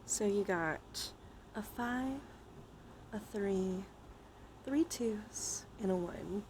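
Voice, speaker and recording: Casting: female livestream host, mid-pitched, playful and sweary, casual chat delivery, faint noise behind. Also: very slow. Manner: playful, giggly, swears freely. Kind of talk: casual livestream chat with viewers during a dice game.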